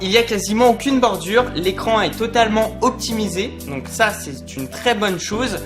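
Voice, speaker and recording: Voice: medium pitch, animated, normal speed. A tech reviewer hosting a vlog, giving a casual product review in an informal, conversational and explanatory way. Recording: music behind the voice. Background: clear background music.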